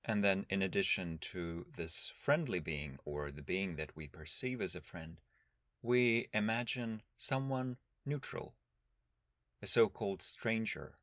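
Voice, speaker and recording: Low-voiced man guiding a meditation. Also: no room sound, studio quality. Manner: calm, measured, instructional, with long pauses. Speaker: meditation teacher. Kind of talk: guided meditation.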